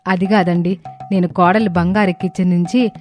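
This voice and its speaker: A woman's voice: lowish voice, fairly even intonation, moderate pace, darkish tone, faint music behind, lightly acted. Woman acting a greedy, scheming mother-in-law. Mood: pleading, stubborn. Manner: insistent, dramatic, argumentative. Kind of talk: dramatised moral story acting.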